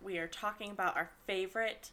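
A woman's voice: medium pitch, steady tone, fairly quick, sharp tone. A young woman with a slight lisp co-hosting a podcast in rambling, giggly casual chat. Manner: giggly, rambling, laughs often. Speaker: podcast co-host.

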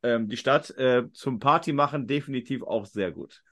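A man's voice: mid-range voice, normal speed, fairly bright tone. A man hosting a quiz podcast in a casual, talkative and rambling way. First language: German.

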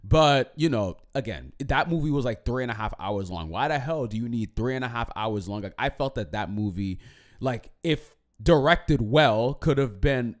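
Animated rambling man reviewing movies. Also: medium pitch, normal speed. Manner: rambling, repeats and restarts, animated. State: throat going hoarse. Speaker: podcast co-host. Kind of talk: casual movie review chat.

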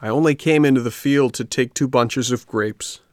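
A man's voice: on the low side, slow, creaky, fairly bright tone, dry recording, heavily acted. A man voicing a tearful, remorseful boy puppet in a storybook, with a plaintive, pleading delivery. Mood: desperate protest.

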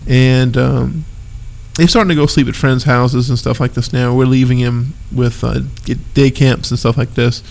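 A man's voice: mid-range voice, steady tone, normal speed, vocal fry, a little mellow, rough recording. A man in his thirties with a stuffed-up nose, co-hosting a casual podcast chat in an easygoing, rambling way. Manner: easygoing, laughs often, rambling asides. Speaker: podcast co-host.

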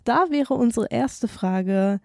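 A woman co-hosting a library podcast, asking interview questions in a friendly, measured way. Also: a little high, gravelly, darkish tone, no echo, studio quality.

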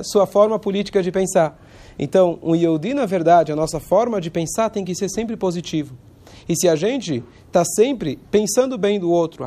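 A man, hoarse from a cold, giving an informal religious lesson in a conversational way and pausing now and then to search for words. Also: high-pitched, a little mellow.

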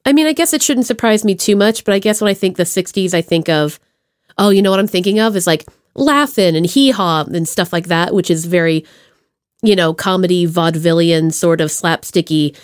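A woman's voice: on the low side, a little fast, no room sound, studio quality. A woman hosting a podcast, chatting casually with playful enthusiasm and informal slang. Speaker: podcast host interviewing a guest. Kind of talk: casual upbeat chat. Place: remote video call.

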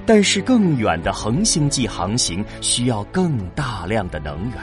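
Low-pitched, slow male narrator over music. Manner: measured, storytelling delivery. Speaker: audio drama narrator. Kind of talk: scripted dramatic science-fiction narration.

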